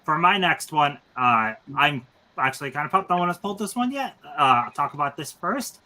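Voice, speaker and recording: Guy in his 30s hosting a movie stream, bright voice, eager and rambly. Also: on the high side, expressive, brisk. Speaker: stream host. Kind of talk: casual panel chat.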